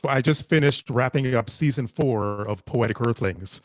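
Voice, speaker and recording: A man speaking casually on a panel as a podcast producer, halting and repeating his words. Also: mid-range voice, no echo. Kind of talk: panel discussion, casual promotion of own work.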